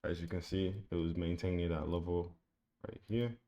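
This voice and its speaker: A man with a low, mellow voice talks through a price chart in a relaxed, repetitive way. He keeps a flat, even tone as he points out levels and entries, like a casual screen-share lesson. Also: moderate pace. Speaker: trading educator. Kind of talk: screen-share trading tutorial.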